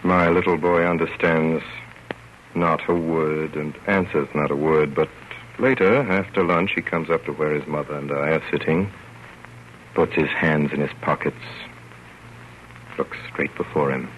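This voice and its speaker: Deep-voiced wry father, old radio. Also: fairly even intonation, measured pace. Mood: wry narration. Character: fond, wry, gentlemanly father telling his story. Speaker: narrator. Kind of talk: 1930s radio storytelling. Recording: muffled, noisy old radio broadcast.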